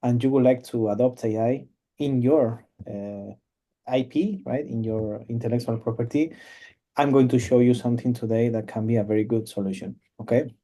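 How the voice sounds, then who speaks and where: Man, webinar presenter, very dark mellow voice, halting, video call. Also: medium pitch, steady tone. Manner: halting, many fillers and restarts. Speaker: cloud company presenter. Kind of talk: webinar product demo.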